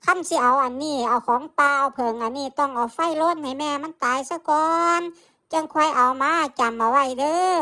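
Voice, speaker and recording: A man's voice: very high voice, brisk, sharp tone, pitched above the performer's own voice, heavily acted. A man voicing a doting, exasperated old village grandmother with an Isan accent, exclaiming and scolding in a folktale. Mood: firm instructing. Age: older. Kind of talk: folktale character voice.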